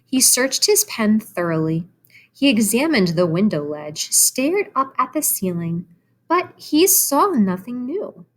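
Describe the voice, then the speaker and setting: A woman reads a children's story aloud in the manner of a teacher. Her delivery is animated and explanatory, and she pauses to talk directly to young listeners. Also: fairly high pitch. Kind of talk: expressive storybook read-aloud with asides to children.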